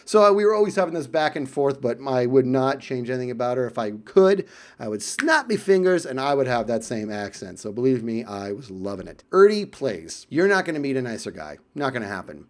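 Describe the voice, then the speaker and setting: An American man tells casual anecdotes as a video creator, in an animated way, chuckling and acting out exchanges with friends. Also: mid-range voice, brisk. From United States. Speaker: video creator telling stories about friends.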